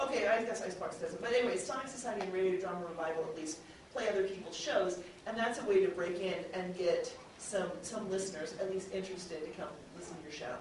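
A woman's voice: lowish voice, fast. A woman audio drama producer talking informally and chattily on a convention panel, explaining with examples. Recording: echoey room, distant mic, faint noise.

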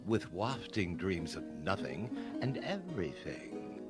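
A man's voice: sits low, wildly swooping pitch, slow pace. A man narrating a radio play as a dramatic, scripted story. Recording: music behind the voice.

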